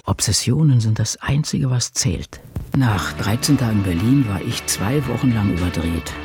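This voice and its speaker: A man's voice: fairly low pitch, expressive, moderate pace, over music, close to an everyday voice. An actor playing a solitary, crotchety old woman novelist, reflecting and musing to herself in a radio drama. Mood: brooding. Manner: musing, talking to self. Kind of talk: radio drama monologue, diary reading.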